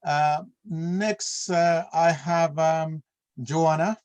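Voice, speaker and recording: A man's voice: a little high, drawn-out words, a little mellow, clear, resonant tone. Easygoing man hosting an online meeting. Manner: easygoing, calls on each person by name. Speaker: meeting host. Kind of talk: online meeting roll call. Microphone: video call.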